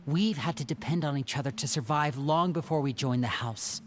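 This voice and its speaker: A man voicing a young man in a video game, a sharp older brother who probes teasingly and then turns tender and sincere. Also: on the high side, normal speed, soft background music, close to an everyday voice.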